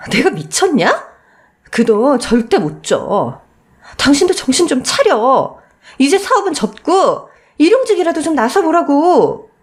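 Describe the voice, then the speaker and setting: A woman's voice: high-pitched, very animated, takes it slowly, pitched above the performer's own voice, exaggerated voice. A woman playing a defiant, fed-up middle-aged wife and protective mother, scolding in a radio story. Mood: exasperated.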